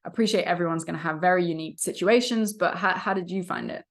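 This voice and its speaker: A woman's voice: on the low side, fast talker. A woman hosting an interview podcast who asks her questions in long, hedging, meandering sentences. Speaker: podcast host.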